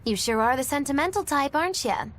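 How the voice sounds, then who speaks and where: High, swooping, theatrical young witch. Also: expressive, quick pace, a bit bright. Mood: knowing, teasing. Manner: arch, theatrical, wordy. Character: sly, theatrical young witch, teasing and grandiose. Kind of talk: English anime game dub.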